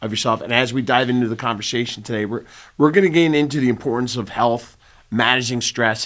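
Enthusiastic man hosting a podcast. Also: medium pitch. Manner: enthusiastic, stumbling over words and restarting. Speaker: podcast host. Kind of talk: conversational show introduction.

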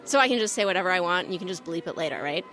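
A brash young ride operator in an audio drama, rambling in sarcastic slang. The delivery is lively and swings widely in pitch. She sounds foul-mouthed and fed up with authority. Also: very fast, faint music behind. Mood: casual, enthusiastic. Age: young adult. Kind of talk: audio drama monologue as a press interview.

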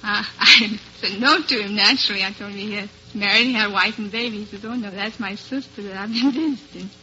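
Bright, sharp-voiced woman on old radio. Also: mid-range voice, a little fast, dull audio. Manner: giggly, polite, good-humoured. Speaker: quiz show contestant. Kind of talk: chatty storytelling in a radio interview. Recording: slightly noisy, band-limited old radio broadcast.

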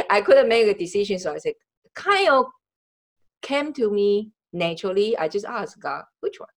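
A woman from Taiwan hosting a podcast in a conversational, personal way, halting as she searches for words in non-native English. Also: medium pitch. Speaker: podcast host. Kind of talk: conversational interview, personal storytelling.

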